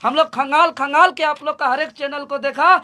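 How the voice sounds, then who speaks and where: A man's voice: very high-pitched, fairly even intonation, normal speed, sharp tone. A young man with a Jharkhand accent speaking as a student movement leader, making an impassioned political statement in an emphatic, repetitive, rallying manner.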